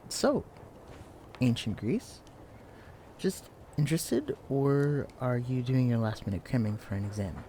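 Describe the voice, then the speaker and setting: A young man playing a shy, clumsy, bookish librarian in an intimate audio roleplay, speaking in a hesitant, stammering, hushed way. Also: medium pitch, lively intonation, unhurried, a little hiss or hum, natural voice. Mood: helpful, eager. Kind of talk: intimate audio roleplay acting addressed to the listener. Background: quiet library ambience.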